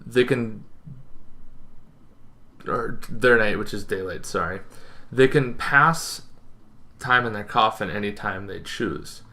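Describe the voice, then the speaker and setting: A young man hosting an informal explainer to camera, speaking emphatically with halting, dramatic pauses. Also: fairly low pitch, sharp tone. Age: young adult. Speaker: host of a tabletop gaming series. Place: bedroom, indoors.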